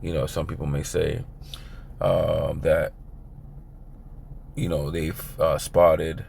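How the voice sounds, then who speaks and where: Hoarse, gravelly-voiced man preaching. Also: a bass voice, level tone, slow, a little mellow, a little hiss or hum. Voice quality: raspy. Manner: rambling, filler-heavy, conversational. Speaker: religious teacher. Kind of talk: informal video sermon.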